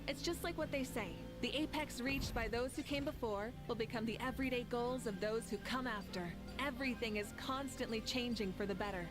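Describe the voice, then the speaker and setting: A woman's voice: on the high side, fairly quick, few pauses, close to an everyday voice. A woman voicing a confident, kind female leader in a game, speaking with easy assurance. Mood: assured. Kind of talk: game cutscene voice acting. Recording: game audio with music underneath.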